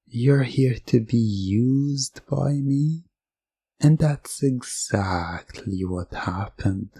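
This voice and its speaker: A man giving soft, commanding, repetitive hypnotic suggestions in an ASMR roleplay, close to the mic. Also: medium pitch, very slow, breathy edge, dry recording.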